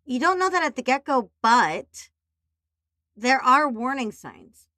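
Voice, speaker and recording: Animated woman hosting a podcast. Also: sits high, slow pace, no room sound, clean studio sound. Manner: animated, playful, speaks directly to listeners. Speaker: podcast host. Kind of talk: casual conversation.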